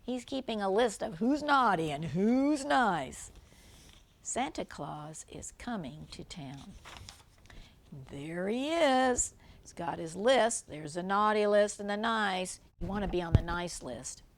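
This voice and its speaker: Slow-paced older woman reading a storybook. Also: mid-range voice, lively intonation. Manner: simple, explanatory, pointing things out to a child. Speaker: grandmother reading a picture book to children. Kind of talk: read-aloud storybook narration with asides about the pictures.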